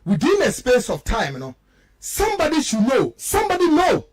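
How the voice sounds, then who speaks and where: A man's voice: very high-pitched, wildly swooping pitch, normal speed, no echo. A Ghanaian man who speaks Twi natively, giving impassioned political radio commentary in an emphatic way, driving points home with rhetorical questions. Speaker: political commentator, studio guest.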